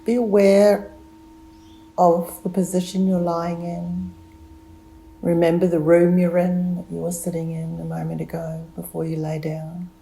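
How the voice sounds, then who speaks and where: A woman leading a guided relaxation meditation, giving gentle, soothing instructions with long unhurried pauses. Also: on the low side, monotone, creaky, a little hiss or hum, poor audio.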